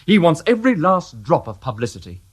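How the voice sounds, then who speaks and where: A man's voice: a little high, very animated, brisk, breathy edge. A dry-witted detective inspector in a radio play. He speaks with understated wryness, his intonation rising and falling a good deal. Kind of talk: radio drama acting.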